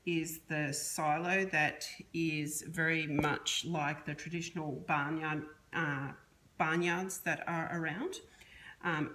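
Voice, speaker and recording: A woman's voice: low-pitched, fairly bright tone. A middle-aged woman chatting her way through a cake decorating demonstration in a home kitchen, explaining step by step with restarts, recorded by a camera on the counter. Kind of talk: casual how-to demonstration.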